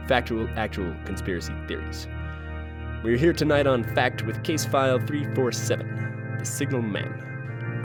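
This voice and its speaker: An actor playing a theatrical host of a late-night mystery show, delivering a scripted, portentous introduction. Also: male voice, mid-range voice, natural voice.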